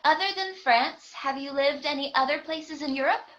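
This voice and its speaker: A woman's voice: high voice, moderate pace, fairly bright tone, poor audio. A woman hosting a casual podcast lesson over a video call, bubbly and laughing often. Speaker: host and English teacher.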